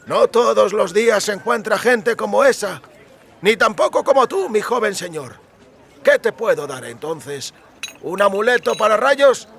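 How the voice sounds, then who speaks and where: A man's voice: sits high, lively intonation, a little slow, gravelly, fairly bright tone, slightly rough, pitched above the performer's own voice, exaggerated voice. A man voicing an eager, chatty amulet merchant with effusive, wheedling sales patter in an audiobook. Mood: eager, confiding. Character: eager, chatty male amulet merchant peddling quack remedies. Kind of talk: audiobook character acting.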